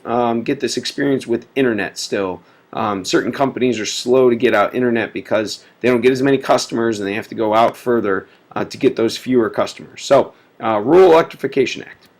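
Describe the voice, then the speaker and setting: A man's voice: medium pitch, a little fast. A man giving a casual recorded history lecture, full of filler words, sick and sniffly. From United States. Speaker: teacher.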